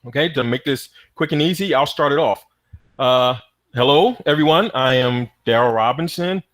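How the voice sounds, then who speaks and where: Halting young American man hosting. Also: mid-range voice. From United States. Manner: relaxed, halting, many uhs. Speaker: host of an online student event. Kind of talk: casual hosting with hesitant fillers.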